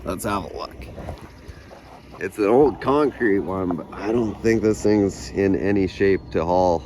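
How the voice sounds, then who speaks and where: Hoarse low-voiced man vlogging. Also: a little slow, a little hiss or hum. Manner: relaxed, rambling, trailing off with fillers. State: hoarse, raspy voice. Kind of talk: casual vlog chat. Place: garage shop, partly outdoors.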